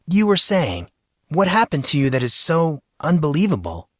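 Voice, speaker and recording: A man's voice: a little high, big pitch swings, normal speed, a bit bright, no room sound, studio quality, pitched above the performer's own voice. Man playing a wry, direct teenage boy. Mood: gentle concern. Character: fourteen-year-old boy, wry, considerate, assertive.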